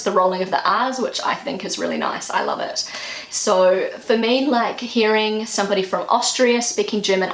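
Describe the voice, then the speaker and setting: Gravelly New Zealand woman vlogging. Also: mid-range voice. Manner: chatty and earnest, voice jumps up for emphasis. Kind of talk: casual opinion vlog to camera. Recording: echoey room.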